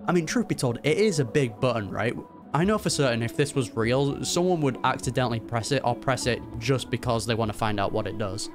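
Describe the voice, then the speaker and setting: A man's voice: mid-range voice, lively intonation, fairly quick, over music. A man hosting a casual game reaction video, with slangy, wry commentary.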